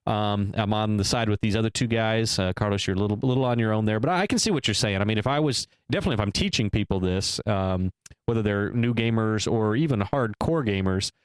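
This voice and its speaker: Podcast co-host, male, hesitant and deliberate, clean resonant tone, dead-dry booth. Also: fairly low pitch, clear, resonant tone, no room sound. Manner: hesitant, weighing both sides with many restarts. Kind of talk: casual discussion.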